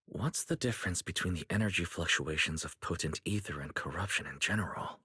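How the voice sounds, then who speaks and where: A thoughtful young man in a video game, low and very husky. He muses quietly to himself, with long reverb around the voice. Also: a little fast, exaggerated voice. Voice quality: husky, breathy. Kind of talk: game cutscene inner monologue. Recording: long reverb, faint music behind.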